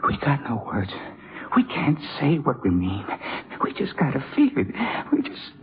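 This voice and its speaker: An actor playing a delirious, sick trumpet player, rambling and repeating himself with desperate yearning, in a 1950s radio drama. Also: male voice, medium pitch, huge pitch swings, unhurried. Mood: feverish yearning. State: weak and feverish, acted. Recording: muffled 1950s radio broadcast with music.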